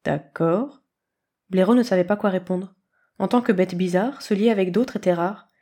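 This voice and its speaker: A woman reading a scripted story aloud as an expressive narrator. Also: mid-range voice, fairly quick. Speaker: podfic reader.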